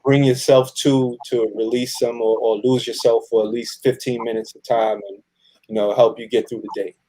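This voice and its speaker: Mellow man in casual, halting conversation. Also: medium pitch, flat delivery, low-quality recording. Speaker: audio drama cast member being interviewed. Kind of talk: casual interview chat.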